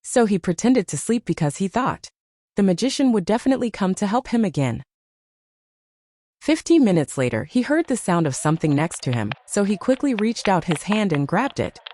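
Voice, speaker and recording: A woman's voice: mid-range voice, big pitch swings, moderate pace, darkish tone, a little hiss or hum. A woman narrator reading a scripted moral tale for children in storybook style.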